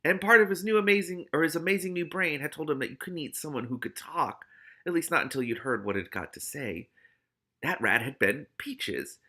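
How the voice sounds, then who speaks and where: A man reading a novel aloud in an expressive, animated way, adding his own exclamatory commentary. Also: fairly high pitch, a little fast. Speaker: host of a read-aloud commentary show.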